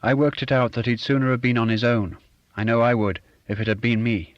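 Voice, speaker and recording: A man's voice: medium pitch, steady tone, brisk, no echo, lo-fi sound, pitched above the performer's own voice, close to an everyday voice. A man voicing a reserved, defensive fellow. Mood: guarded. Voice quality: reserved, clipped. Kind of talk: audiobook character voice.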